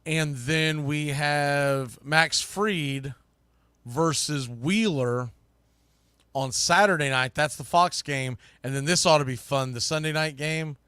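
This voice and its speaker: A man's voice: a little high, slow pace, sharp tone, clean tone, dry recording. An American man hosting a baseball podcast, giving emphatic, opinionated, folksy off-the-cuff commentary. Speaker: baseball podcast host and broadcaster.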